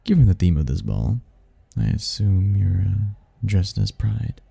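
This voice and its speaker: An actor voicing a suave, flirtatious male demon in an ASMR roleplay, with a low, husky, gravelly voice and a teasing, smooth-talking manner. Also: lively intonation, a little slow, dark tone, dry recording, full character voice. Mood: flirtatious, charming.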